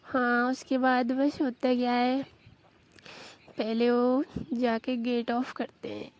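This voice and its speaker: A woman telling an intimate romantic story in a confessional style, with drawn-out, repetitive phrasing. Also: fairly high pitch, flat delivery. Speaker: storyteller in a staged intimate phone conversation.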